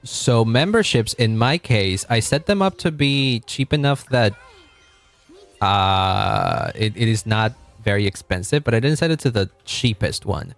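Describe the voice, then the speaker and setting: A deep, low-pitched man from Argentina chats loosely with his stream audience, doubling back on his own words and laughing softly. He answers chat as he goes. Quiet music plays underneath. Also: very animated, measured pace, vocal fry. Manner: rambling, self-correcting, chuckling, reading chat aloud. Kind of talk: casual livestream chat.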